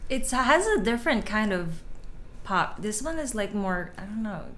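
A woman's voice: medium pitch, animated, moderate pace, pushed and bright. A young adult woman chatting casually as a patient, reacting to her treatment. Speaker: patient, model. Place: small treatment office, indoors. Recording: echoey room, background noise.

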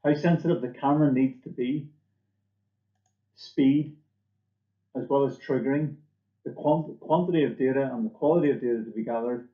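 A middle-aged man giving a scripted product presentation as a company presenter, recorded indoors at a desk. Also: medium pitch. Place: indoor room, seen on webcam. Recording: echoey room, dull muffled audio.

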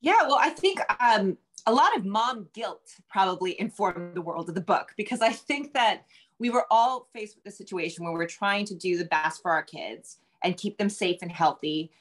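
A woman's voice: medium pitch, expressive, moderate pace. A middle-aged woman speaking conversationally as an interview guest, a novelist talking from a room at home over a video call.

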